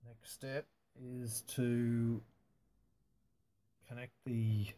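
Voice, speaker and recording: Flat-toned, mellow man giving a hands-on demo. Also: medium pitch. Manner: halting, unscripted, matter-of-fact. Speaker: amateur radio hobbyist demonstrating antenna tuning. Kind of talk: casual hands-on demonstration, narrated live.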